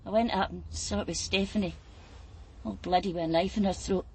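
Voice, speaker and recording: A woman performs a blunt, shaken Glaswegian streetwalker for radio drama. She is chatty and colloquial, a tired mother with a middling pitch. Faint street ambience sits behind her. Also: fairly even intonation, a little fast, a little hiss or hum, lightly acted. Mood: chatty, uneasy. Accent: Glaswegian Scottish. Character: weary, shaken, plain-spoken Glasgow streetwalker and mother. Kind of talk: naturalistic radio drama acting.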